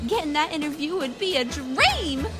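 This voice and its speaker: A woman's voice: sits high, sing-song, moderate pace. A woman voicing a sweet, glamorous, gossipy cartoon bar hostess in a theatrical, affectionate way. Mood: excited gossip. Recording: loud music behind the voice.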